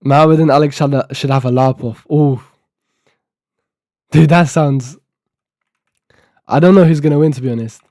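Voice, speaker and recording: A man's voice: a little high, normal speed, long pauses, mellow, no echo, studio quality. An eighteen-year-old man streaming live, thinking aloud and half-reading documentation to himself as he codes, with a sore throat. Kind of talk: casual live-stream talk while coding.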